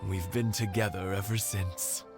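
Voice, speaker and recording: A man voicing a good-humored, sentimental elderly husband in a video game, reminiscing in a halting way. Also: low voice, fairly even intonation, over music. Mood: nostalgic. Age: older. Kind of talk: video game dialogue acting.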